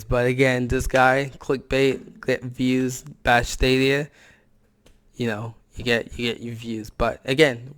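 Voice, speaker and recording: A man hosting a gaming reaction video, hoarse from a cold, talking in a blunt, slangy, casual way. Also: mid-range voice, no echo, clean studio sound. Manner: blunt, slangy, scolding the other creator. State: hoarse from a cold and sore throat. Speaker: reaction channel host.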